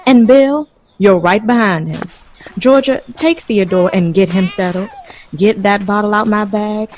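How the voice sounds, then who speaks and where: Woman acting brisk, bossy 1920s mother. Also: medium pitch, darkish tone, close to an everyday voice. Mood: brisk, commanding. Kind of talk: audio drama acting.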